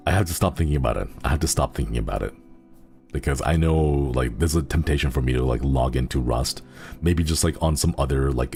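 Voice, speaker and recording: Guy with a super low gravelly voice, chatty laid-back streamer, faint music behind. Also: darkish tone. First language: Xenokuni (in-fiction language). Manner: rambling and easygoing, giggles often. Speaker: livestreamer. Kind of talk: casual stream chat, reading viewer messages.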